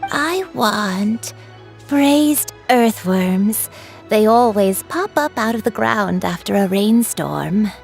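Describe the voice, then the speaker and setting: A woman voicing a gentle, forgetful granny with a dreamy, wandering manner in a video game. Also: medium pitch, lively intonation, vocal fry, soft background music. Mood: wistful. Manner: slow, wandering, wistful.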